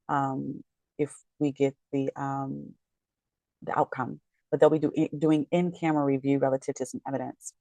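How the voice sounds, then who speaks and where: A woman's voice: low-pitched, gravelly, mellow, no echo. A woman legal commentator talking casually about a court case, her voice tired and worn, rambling and correcting herself as she goes. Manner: rambling, self-correcting, apologetic. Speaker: real estate agent and legal commentator. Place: home, late at night.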